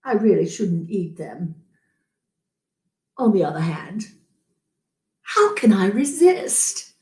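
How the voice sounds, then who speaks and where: A greedy, scheming male fox from a children's storybook, acted out by a woman. The delivery is slow and drawn out, full of gushing theatrical delight, and swoops widely in pitch. The room adds some echo. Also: big pitch swings, darkish tone. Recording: roomy echo.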